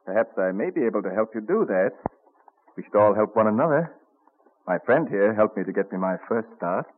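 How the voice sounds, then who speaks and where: Low-voiced, kindly colonial inventor, vintage radio. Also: male voice, animated. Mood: earnest. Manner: courtly, earnest, measured. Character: genial young inventor-printer, colonial man, philosophical and kindly. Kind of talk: old-time radio drama acting. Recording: muffled narrow-band vintage radio recording.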